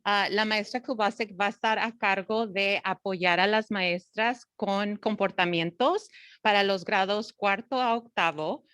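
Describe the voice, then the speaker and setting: A woman, a literacy coordinator, interpreting a colleague's talk into Spanish for parents and explaining it clearly. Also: mid-range voice, fairly even intonation, normal speed, a bit bright, no echo, studio quality.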